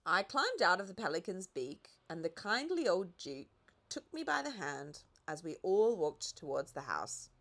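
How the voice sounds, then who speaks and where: Slow, very animated woman reading a storybook. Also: lowish voice, gravelly. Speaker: audiobook reader. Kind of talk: expressive children's storybook narration.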